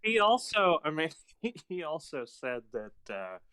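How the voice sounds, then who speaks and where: A man co-hosting a casual film podcast who tells stories in a relaxed way and laughs mid-sentence. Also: a little high, a little slow, clear, resonant tone, no echo. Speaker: podcast co-host.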